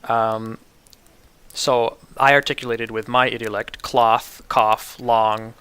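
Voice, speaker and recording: A man with a New York City American accent gives an instructional pronunciation demonstration, enunciating words precisely and deliberately. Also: medium pitch, little pitch movement, sharp tone, smooth, no room sound. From New York City, United States. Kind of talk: instructional demonstration with word lists.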